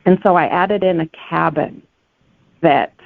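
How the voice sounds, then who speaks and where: A woman's voice: on the low side, unhurried, gravelly, no room sound. A woman author being interviewed, talking earnestly and conversationally over a tinny phone-like connection. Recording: dry, tinny phone-line sound.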